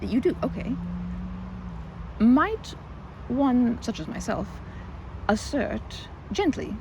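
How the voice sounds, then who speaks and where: A woman's voice: fairly high pitch, vocal fry, noisy background. A woman playing a timid, nervous young woman in an ASMR roleplay, stammering, repeating words and rambling in quirky, formal phrasing. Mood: awkward, earnest. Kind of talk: ASMR roleplay, one-sided audio drama.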